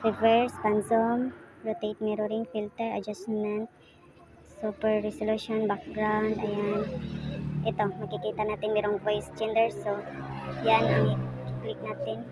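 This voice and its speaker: A woman with a Filipino accent giving a casual step-by-step tutorial in a halting, self-correcting way, like someone demonstrating as she goes. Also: mid-range voice, completely flat delivery. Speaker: tutorial presenter. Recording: noisy home recording with animal sounds.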